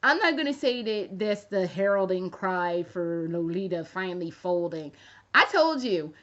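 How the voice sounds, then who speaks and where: A woman in her thirties chatting casually to camera as a fashion vlogger, rambling and swearing freely with jokey asides. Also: fairly high pitch. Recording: dull lo-fi recording.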